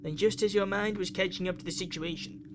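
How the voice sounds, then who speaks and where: A man's voice: high-pitched, steady tone, fast, slight background noise. A man reading a visual novel aloud in a theatrical way, dropping into offhand asides. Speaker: video game player reading aloud. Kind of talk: ASMR-style visual novel read-through with asides.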